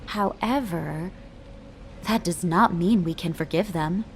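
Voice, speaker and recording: A woman's voice: lowish voice, takes it slowly, slight background noise. An actress playing a principled female military officer in a video game, formal, firm and earnest. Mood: stern, troubled. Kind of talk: video game cutscene acting.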